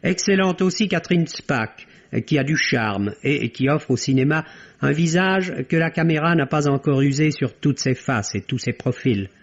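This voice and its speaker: A man's voice: a little high, expressive, normal speed. Formal male film critic on muffled old radio. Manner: formal, literary, articulate, rhetorical. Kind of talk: scripted film review, 1960s French radio. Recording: dull, lo-fi vintage radio recording.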